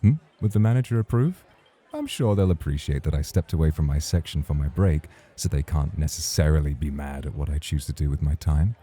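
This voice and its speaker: A man plays a charming, teasing club champion in a romantic ASMR roleplay. His voice is extremely low, very dark and husky. He talks in a formal, courtly way. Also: lively intonation, fairly quick, exaggerated voice. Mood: teasing, polite. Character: suave, teasing champion male club host, smoothly charming.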